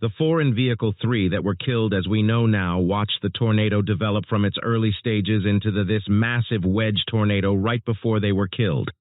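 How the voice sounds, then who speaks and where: A man's voice: on the low side, a little fast, few pauses, dry recording, close to an everyday voice. A man playing a sober, earnest storm chaser giving scripted interview answers. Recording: muffled narrowband phone-like audio.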